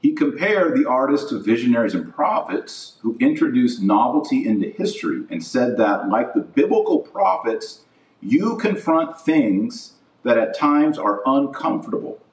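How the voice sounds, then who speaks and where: A man's voice: medium pitch, very animated, moderate pace. A man hosting a podcast, giving opinionated religious commentary in an emphatic, indignant manner full of rhetorical questions. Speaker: podcast host. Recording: roomy echo, distant from mic.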